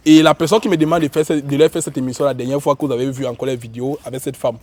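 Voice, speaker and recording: A man's voice: medium pitch, flat delivery, rapid-fire, a little mellow. A man with a Cameroonian accent and a cold, hosting an online show in an informal, repetitive way as he addresses viewers and guests. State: has a cold. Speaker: YouTube show host.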